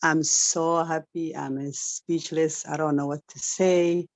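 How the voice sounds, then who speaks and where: A middle-aged woman from Saudi Arabia, a native Arabic speaker, giving an unscripted testimonial in halting, repetitive English. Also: low voice, flat delivery. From Somali family, grew up in Saudi Arabia. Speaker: guest member giving a testimonial. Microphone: video call. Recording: dull, lo-fi online call.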